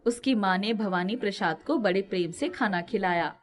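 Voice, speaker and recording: A woman narrating a scripted children's moral story with expressive delivery. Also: medium pitch, normal speed.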